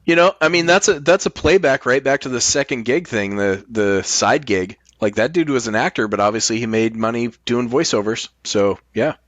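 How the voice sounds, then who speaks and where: A man's voice: mid-range voice, normal speed, few pauses, a bit bright, no room sound, dull audio. A man in casual, profanity-laced podcast conversation, speaking as a host.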